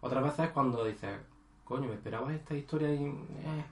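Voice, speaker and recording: A man's voice: mid-range voice, normal speed, reverberant, slight background noise. A man chatting casually about video games as a podcast co-host, hesitant and full of fillers. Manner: rambling, hesitant, many fillers. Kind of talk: casual gaming chat.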